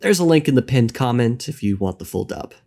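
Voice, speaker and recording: A man's voice: mid-range voice, expressive, fairly quick, gravelly, warm tone. A man narrating a scripted video essay with a dramatic, emphatic delivery.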